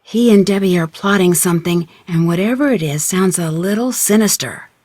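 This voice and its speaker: A woman's voice: lowish voice, normal speed, a little mellow, no echo, studio quality, lightly acted. A woman playing an inquisitive, polite young female amateur detective in a video game, asking questions in a curious way. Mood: suspicious, earnest. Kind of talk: video game dialogue acting.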